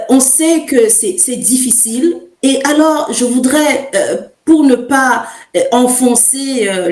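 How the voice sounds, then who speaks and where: A woman's voice: on the high side, a little mellow. A woman hosting an interview, phrasing her questions carefully and hesitantly. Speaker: interview host. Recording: roomy, echoing sound.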